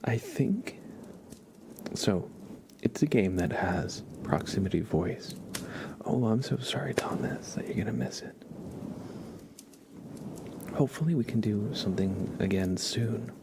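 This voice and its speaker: A man hosting an ASMR livestream, talking to viewers in a soft, hushed, gentle and halting way. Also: fairly low pitch, sing-song, gravelly, noisy background. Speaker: live streamer, ASMR host.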